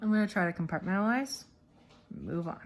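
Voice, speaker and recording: Expressive woman chatting in a casual vlog. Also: mid-range voice, a little fast. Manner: opinionated, expressive, dramatizes points. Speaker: reading vlog host. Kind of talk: casual first-person vlog chat. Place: inside a car on a road trip.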